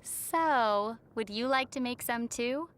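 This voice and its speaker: A woman's voice: on the high side, slow pace, noisy background. A woman playing a young, sensible traveler girl in a video game, with a wry, teasing manner. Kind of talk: video game character dialogue. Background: music.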